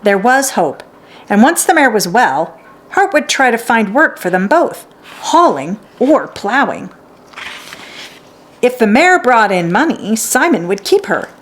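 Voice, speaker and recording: A woman reading a children's story aloud as scripted, expressive narration. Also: a little high, unhurried.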